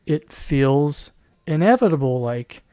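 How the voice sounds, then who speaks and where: A man talking alone on his podcast with a hoarse, worn voice, sometimes clearing his throat. He rambles and restarts his sentences, now and then slipping into a mocking put-on voice. The sound is dry and close, with no room around it. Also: on the high side, lively intonation, takes it slowly, dark tone, no echo. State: losing his voice. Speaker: podcast host. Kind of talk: solo commentary podcast.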